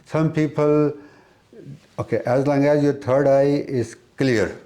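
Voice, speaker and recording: A man giving an explanatory spiritual talk as an interviewed teacher, with halting, repetitive phrasing. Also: on the high side, steady tone, dark tone.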